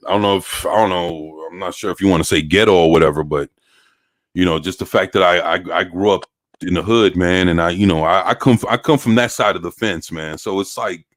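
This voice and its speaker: Deep-voiced streetwise American man chatting. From United States. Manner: streetwise slangy speech, peppered with profanity; halting, repetitive phrasing. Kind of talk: casual chat.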